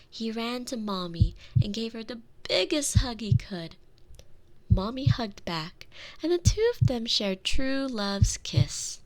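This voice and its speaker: A tender, protective girlfriend played by a woman lulls her partner in an intimate ASMR roleplay. Her medium-pitched voice is soft and soothing, slipping toward whispers. She lingers on phrases like a bedtime storyteller, close to the microphone. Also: low-quality recording. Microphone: close binaural microphone.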